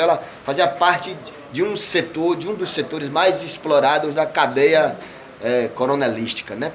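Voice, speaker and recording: A man's voice: fairly high pitch, slight background noise. A man from Bahia, an artist talking casually and at length in an interview, wandering through his thoughts with many tag questions. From Bahia, Brazil. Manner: discursive, meandering, frequent tag questions.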